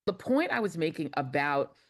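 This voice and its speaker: A woman giving political commentary on a panel, speaking in a measured, emphatic way. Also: low-pitched, very animated. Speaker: guest commentator.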